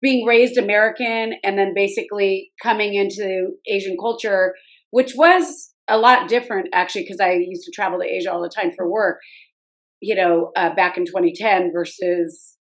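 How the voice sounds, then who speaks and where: A woman's voice: medium pitch, moderate pace, rough recording. A woman hosting a podcast interview, asking thoughtful, reflective questions. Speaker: podcast host. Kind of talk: conversational interview.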